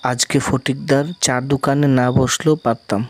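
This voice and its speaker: A man plays a kind village husband in a cartoon moral tale. His voice sits at a middle pitch. He talks in an earnest, familiar way, humble and generous. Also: fairly even intonation, normal speed, no echo, lightly acted. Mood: hurried.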